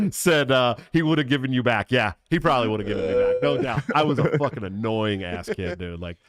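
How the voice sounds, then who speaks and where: A man in his thirties hosting a casual podcast, telling a story with many ums, likes and pauses to get around a mild stutter. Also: medium pitch, lively intonation. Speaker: podcast host.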